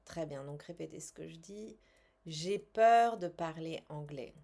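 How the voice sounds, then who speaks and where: Slow, lower-pitched woman guiding an exercise. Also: creaky, dark tone. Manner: measured, instructional, with pauses between short phrases. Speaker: tapping coach and language teacher. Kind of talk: guided tapping exercise with repeated phrases.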